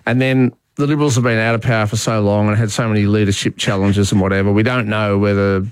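A middle-aged man with a lowish voice hosts a morning radio show. He chats loosely, jokes and stumbles over his own restarts, close to a dry studio mic. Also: level tone, fast talker, clean tone, dry recording. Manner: chatty, joking, lots of restarts. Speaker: radio talk show host. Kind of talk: casual talkback radio chat. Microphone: studio microphone.

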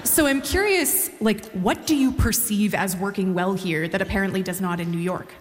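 A woman's voice: medium pitch, normal speed, faint music behind. A woman, asking questions conversationally as an interviewer on stage. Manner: conversational, inquisitive. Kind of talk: onstage interview. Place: large hall, on stage. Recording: echoey hall with long reverb.

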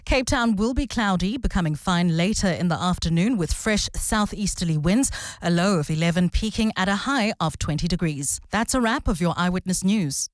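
A woman reading a radio news bulletin in a crisp, measured delivery. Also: on the low side, expressive. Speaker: newsreader.

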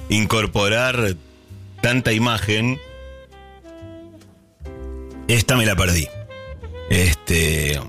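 A man's voice: low-pitched, a bit bright. A middle-aged man with a Rioplatense Spanish accent hosting a casual early-morning radio show, chatting and thinking aloud with many fillers and restarts. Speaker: radio host.

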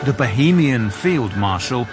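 Measured male radio correspondent. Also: medium pitch, expressive, over loud music. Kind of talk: scripted radio report.

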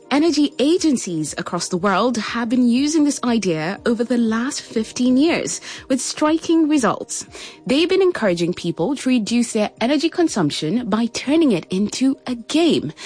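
A woman's voice: on the high side, a little mellow. A woman from Kenya hosting a radio programme, moving between scripted presenting and studio chat.

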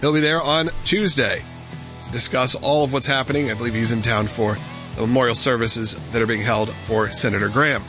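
A man's voice: mid-range voice, steady tone, a little fast, over music. An American man hosting a live political talk show, addressing listeners and callers.

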